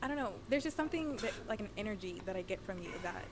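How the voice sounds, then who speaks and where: A woman of twenty-eight, a dating show contestant, speaking softly and hesitantly, searching for words. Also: medium pitch, expressive, fast talker, noisy background. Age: 20s. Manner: soft, hesitant, groping for words.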